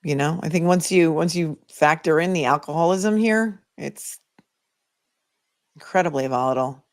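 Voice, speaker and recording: A woman's voice: low-pitched, moderate pace. Woman, Boston accent, livestream host, casual legal commentary, conversational, opinionated, thinking aloud. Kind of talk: casual chat, answering live viewer comments.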